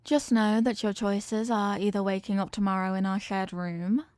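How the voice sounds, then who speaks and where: A woman's voice: medium pitch, little pitch movement, normal speed, darkish tone, dry recording, clean studio sound, natural voice. A woman voicing an obsessively loving evil queen in a roleplay audio drama, speaking formally and measuredly with imperious, veiled menace. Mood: quiet menace. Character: possessive yandere evil queen, regal, obsessively in love. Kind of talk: scripted roleplay monologue, ASMR audio drama.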